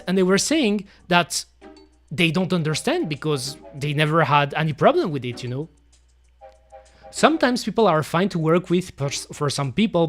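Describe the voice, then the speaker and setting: Gaming streamer, English, loose rambling chatter full of fillers and casual cursing. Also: mid-range voice. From Belgium. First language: French. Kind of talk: casual chat while playing.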